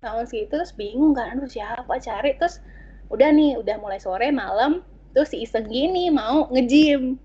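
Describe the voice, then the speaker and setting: A woman, casually telling a story about her work as an interpreter, animated and full of slang and fillers. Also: sits high, normal speed, breathy edge, highs cut off.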